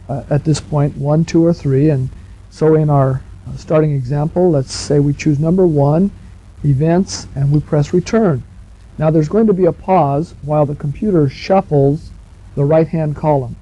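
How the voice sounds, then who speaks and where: Male, slightly high-pitched, dark mellow tone, conversational instructor, chatty, addresses the listener directly. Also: husky. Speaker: instructor on a software instruction tape. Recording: muffled 1970s cassette tape recording.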